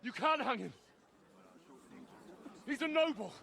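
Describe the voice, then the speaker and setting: A man's voice: very high voice, lively intonation, slow, creaky, pushed and bright, heavily acted. An actor playing an entitled young nobleman, protesting haughtily and urgently in a medieval video game drama. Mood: insistent.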